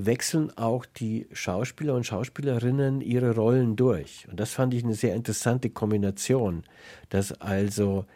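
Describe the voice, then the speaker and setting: A man's voice: medium pitch, warm tone. A man hosting a reflective literary radio interview, speaking in a thoughtful, analytical way.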